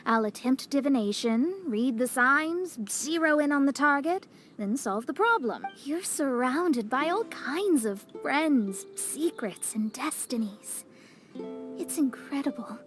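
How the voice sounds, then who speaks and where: A composed, high-voiced young seer. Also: female voice. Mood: reflective. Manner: measured, calm, reflective. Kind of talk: video game character acting. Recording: soft music behind the voice. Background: game music.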